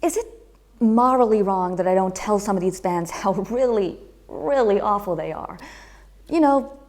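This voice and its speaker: Wry sitcom studio engineer female actor, mid pitch, chatty sarcasm, teasing. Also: normal speed, a little mellow, natural voice. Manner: sarcastic, conversational.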